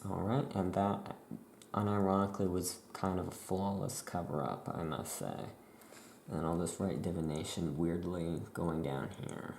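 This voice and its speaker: A soft-spoken ASMR roleplayer talking gently and in a hushed, unhurried way as a witch shopkeeper. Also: low voice, steady tone, gravelly, a little hiss or hum.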